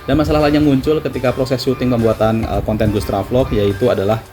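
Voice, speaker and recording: A man's voice: mid-range voice, steady tone, quick pace. A man with a Javanese accent, a travel vlogger, talking casually and self-deprecatingly to the camera. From Java. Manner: chatty, self-deprecating, slangy. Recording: music playing underneath.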